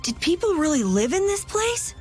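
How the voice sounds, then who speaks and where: An actress voicing an eager, anxious young boy adventurer in a video game, plain-spoken and excitable. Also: very high voice, moderate pace, faint music behind. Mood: amazed.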